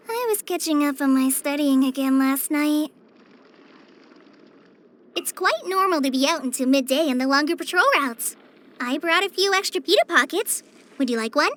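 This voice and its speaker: A woman's voice: very high-pitched, full character voice. A woman voicing a shy, earnest teenage forest ranger girl in a video game, hesitant, apologetic and kind. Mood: gentle, friendly. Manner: hesitant, apologetic, soft-hearted. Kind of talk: video game character voice lines.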